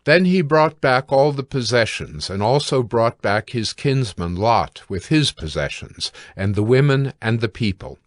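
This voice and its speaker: A man narrating scripture from a script in a measured, deliberate way. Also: mid-range voice, animated, no echo, clean studio sound.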